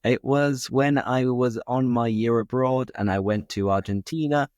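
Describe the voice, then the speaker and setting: A man's voice: medium pitch, unhurried, darkish tone, dry recording, studio quality. A man with a British accent hosting a casual conversation, asking curious, easygoing questions. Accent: British English. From England. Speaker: podcast host, English teacher.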